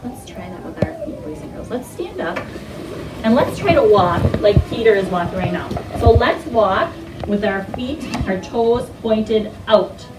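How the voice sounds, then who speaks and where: A woman's voice: mid-range voice, lively intonation, a little slow. A woman teaching young children in an interactive read-aloud, using simple instructions and frequent questions and prompts. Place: indoor classroom with carpet. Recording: echoey, noisy room, distant microphone.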